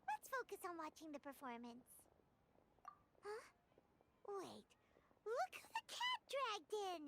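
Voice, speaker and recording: A woman's voice: extremely high pitch, huge pitch swings, takes it slowly, heavy background noise, exaggerated voice. A woman voicing a tiny chirpy female fairy companion who chatters about herself in the third person.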